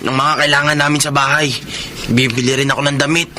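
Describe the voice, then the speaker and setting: A man's voice: medium pitch, little pitch movement, fast talker, pushed and bright, slight background noise. A man acting a sly, irresponsible young gambler and younger brother in a Filipino radio drama. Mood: pleased, scheming.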